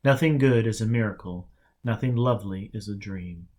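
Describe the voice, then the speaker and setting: A man voicing a calm, teasing male mystic teacher in an audiobook, speaking in short instructive commands. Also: fairly low pitch, normal speed, close to an everyday voice.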